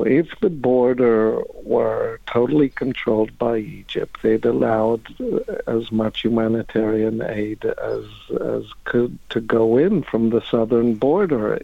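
A man with an Israeli accent, a professor answering questions at a measured, explanatory pace over the phone. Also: medium pitch, creaky, dry recording. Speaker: guest professor. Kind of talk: phone-in interview. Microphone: telephone line. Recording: tinny phone line.

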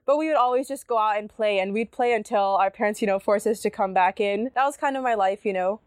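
A woman's voice: medium pitch, fast, darkish tone, dry recording. A young woman guest telling stories from her childhood in a casual, chatty way, using many "like" and "you know" fillers. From United States. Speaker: guest, student activist. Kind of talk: casual conversational storytelling.

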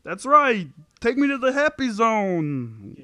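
A man reads the part of a petulant sitcom husband who justifies himself. The voice is high for a man and closely recorded, with a dry sound and an amateur table-read delivery. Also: huge pitch swings, slow, pushed and bright, dry recording, heavily acted. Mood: petulant, flippant.

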